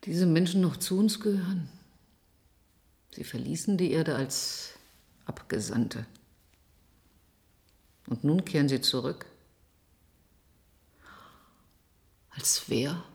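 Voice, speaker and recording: An actress playing a composed, grave doctor in a German radio drama, speaking in a measured, clinical way. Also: female voice, low-pitched, creaky, a little mellow, heavily acted. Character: composed, grave female doctor explaining brain findings.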